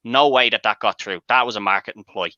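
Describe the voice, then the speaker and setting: A man with a low-pitched, very sharp and forceful voice debates movies casually but emphatically, swearing as he argues his case. The sound is dry and slightly dull, lo-fi. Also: fast talker, dry recording. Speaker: panelist and host of a movie debate show.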